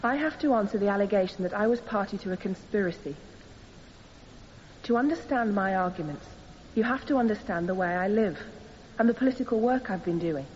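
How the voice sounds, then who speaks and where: An actress playing an earnest, defiant young woman on trial, speaking deliberately in a radio drama courtroom. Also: medium pitch, lively intonation, darkish tone, natural voice. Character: earnest young female anarchist defendant defending herself. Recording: muffled, hissy, long hall reverb.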